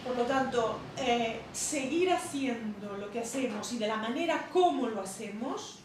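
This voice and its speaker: A woman's voice: fairly high pitch, lively intonation, unhurried. A woman publisher talking at a public interview, hesitant with many fillers and restarts. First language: not Catalan. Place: bookshop event room. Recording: echoey hall, distant mic, noisy.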